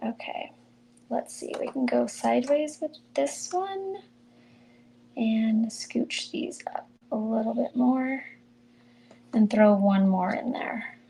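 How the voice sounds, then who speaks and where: A middle-aged woman casually chatting through a crafting livestream, mild and soft-spoken as she thinks aloud while she works. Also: on the high side, slow pace, creaky, long pauses, a little hiss or hum, poor audio. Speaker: card-making demonstrator.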